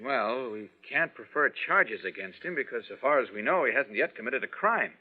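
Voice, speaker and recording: Brisk, take-charge vintage radio reporter played by a man. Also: medium pitch, lively intonation, close to an everyday voice. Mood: businesslike. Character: brisk confident male newspaper reporter taking charge. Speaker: radio drama actor. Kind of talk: 1940s radio acting. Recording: dull lo-fi vintage radio recording.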